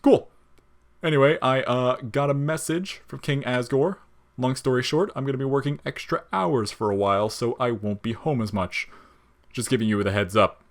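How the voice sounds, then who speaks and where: A man's voice: mid-range voice, moderate pace, voice pushed up, natural voice. A man playing a laid-back, wisecracking skeleton in an audio story, casual, joking and slangy. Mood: easygoing, evasive.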